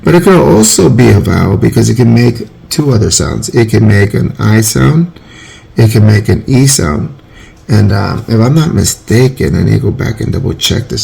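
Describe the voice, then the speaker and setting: Gravelly man teaching casually. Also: on the low side, a little mellow. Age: 40s. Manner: rambling, self-correcting, informal. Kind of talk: casual phonics lesson.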